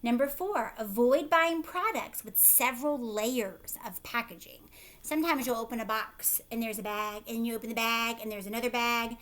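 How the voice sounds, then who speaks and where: A woman with a fairly high voice reads aloud as a teacher would to young children. She steps out of the text to explain things simply and checks in with her listeners in an instructive way. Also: moderate pace, a bit bright. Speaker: teacher reading a picture book aloud to children.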